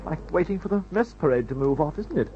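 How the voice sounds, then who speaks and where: A man's voice: fairly high pitch, expressive, fast, heavy background noise, natural voice. An actor playing a jittery but breezy upper-class English airman in an old radio adventure serial, spluttering jaunty slang. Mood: jittery, grumbling. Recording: dull lo-fi old radio recording.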